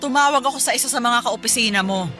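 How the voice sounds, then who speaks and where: A woman's voice: fairly high pitch, fast, music in the background, natural voice. An actress playing a hurt wife in a radio drama, pressing her husband with pleading and accusing questions. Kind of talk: Filipino radio drama acting.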